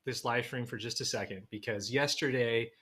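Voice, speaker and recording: Bright, forceful-voiced man chatting as a guest. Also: medium pitch, fairly even intonation, normal speed. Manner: enthusiastic, informal. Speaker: guest film director. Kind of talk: casual interview chat.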